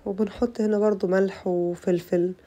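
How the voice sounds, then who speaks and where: A young Egyptian woman with an Egyptian Arabic accent, her voice rough from a bad cold, chatting to her viewers through a casual cooking tutorial. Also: medium pitch, flat delivery, moderate pace, dark tone. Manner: chatty, addresses viewers as girls. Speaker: cooking channel host.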